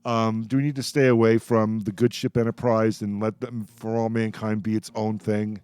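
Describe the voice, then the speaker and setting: A man hosting a casual roundtable radio discussion, speaking in a halting way and repeating words as he goes. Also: lowish voice, flat delivery, darkish tone, clean tone, no echo. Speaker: radio panel host. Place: radio show.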